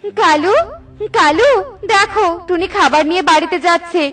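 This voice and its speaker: A woman's voice: very high-pitched, normal speed, a bit bright. A woman voicing a greedy, gossipy, conniving female crow in a cartoon. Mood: excited, urging. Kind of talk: cartoon character dialogue.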